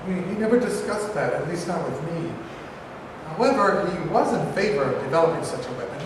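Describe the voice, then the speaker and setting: A man playing a deferential government official in a staged play reading, halting and formal, heard from afar in an echoey hall. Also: on the high side, expressive, close to an everyday voice. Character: deferential male government official briefing his president. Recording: distant mic, echoey hall, clear noise.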